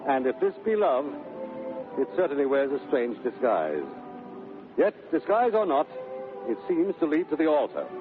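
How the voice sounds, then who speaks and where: A man narrating a scripted story in old radio manner, measured and wry, with dramatic pauses. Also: fairly high pitch, big pitch swings. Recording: narrow-band old radio sound, music behind.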